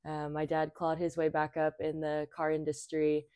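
Young woman guest, low voice, dry close sound, relaxed chatter with fillers. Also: normal speed, clean tone, dry recording. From Thailand. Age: 20s. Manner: chatty, many fillers and restarts. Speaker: podcast guest. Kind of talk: casual conversation.

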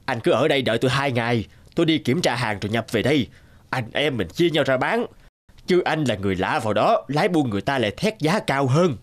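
A man voicing a wily, smooth-talking traveling merchant in an animated folk tale. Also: medium pitch, fast talker, lightly acted. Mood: persuasive.

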